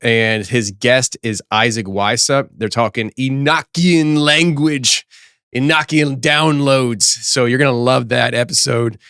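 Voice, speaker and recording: Chatty host with a hoarse voice. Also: male voice, medium pitch, steady tone, measured pace, a bit bright, no echo, clean studio sound. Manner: rambling, talkative, many fillers and restarts. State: slightly hoarse, losing his voice. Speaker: podcast host. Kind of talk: casual live-stream chat. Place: office studio.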